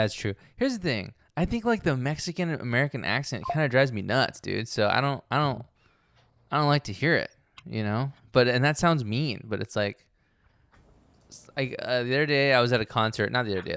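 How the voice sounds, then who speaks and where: A man's voice: medium pitch, lively intonation, a little fast, gravelly, fairly bright tone, clean tone, dry recording, clean studio sound. A man co-hosting a podcast, chatting casually in a laid-back way and calling his friend dude. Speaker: podcast co-host. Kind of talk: casual chat.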